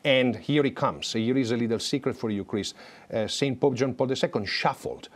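A middle-aged man from Italy, a guest recounting stories in an interview with animated, good-humored delivery. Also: medium pitch. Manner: warm-humored, animated storytelling with brisk asides. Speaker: guest, former papal guard. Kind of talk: storytelling interview.

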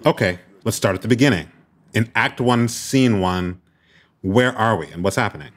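A man's voice: on the low side, normal speed, no room sound. A man speaking as host and narrator in scripted podcast narration.

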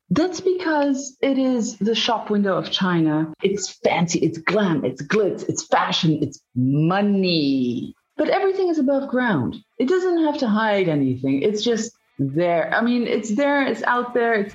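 Lively Dutch-accented woman, lo-fi audio. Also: mid-range voice. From Belgium. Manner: animated, emphatic storytelling with drawn-out phrases. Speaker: guest, journalist and sinologist. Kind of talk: conversational interview answers.

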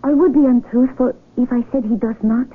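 A woman's voice: fairly high pitch, steady tone, a little fast, slightly rough. A woman acting in a 1970s radio drama as an earnest, devoted young daughter in love, respectful and pleading. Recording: muffled, noisy vintage AM radio recording.

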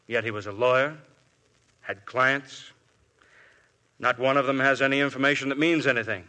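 A man's voice: medium pitch, bright, forceful tone, close to an everyday voice. A man playing a shrewd, probing police inspector. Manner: probing, smoothly persistent questioning. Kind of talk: 1950s radio drama acting.

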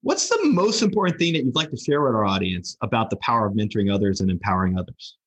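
A man hosting an interview, asking quick and eager questions. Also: on the low side, very animated, husky. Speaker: interview host.

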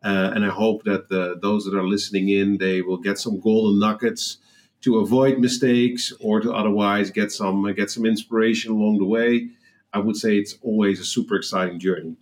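A man's voice: fairly low pitch, fairly even intonation, normal speed. Dutch-accented man telling his story. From Netherlands. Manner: rambling, frequent restarts and fillers, enthusiastic. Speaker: guest entrepreneur. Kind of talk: casual storytelling interview.

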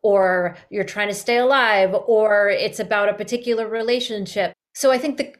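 A woman giving thoughtful, conversational interview answers, piecing her thoughts together as she goes. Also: medium pitch. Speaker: audio drama artist and interview guest.

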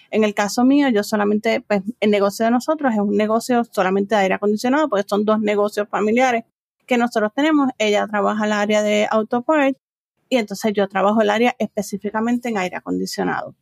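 Gravelly-edged Puerto Rican woman, middle-aged. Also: mid-range voice, no echo, studio quality. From Puerto Rico. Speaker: interview guest, business owner and trainer. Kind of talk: conversational interview answers.

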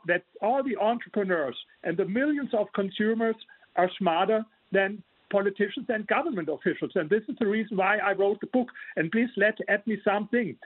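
German man, author guest, husky and dry, tinny remote line, earnest explainer. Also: high-pitched, no room sound. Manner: earnest, explanatory, frequent restarts. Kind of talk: remote interview.

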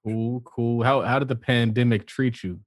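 A man's voice: medium pitch, normal speed, slight background noise. A man co-hosting a podcast, bantering casually and putting questions to his co-host.

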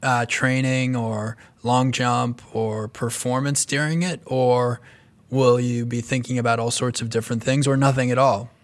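A man's voice: medium pitch, little pitch movement, measured pace. A man hosting an interview who asks questions in an easygoing way, with small restarts. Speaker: podcast host.

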